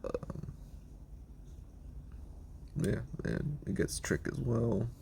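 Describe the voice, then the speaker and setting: A hoarse man with a raspy voice that is giving out, giving a casual, rambling breakdown of his team with lots of ums and restarts. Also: medium pitch, very animated, drawn-out words, mellow, a little hiss or hum. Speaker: video creator explaining his team.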